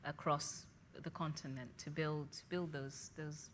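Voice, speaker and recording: A woman's voice: low voice, fairly even intonation, slow pace, a little hiss or hum. A woman moderating a panel, politely reading out audience questions and inviting panelists to answer.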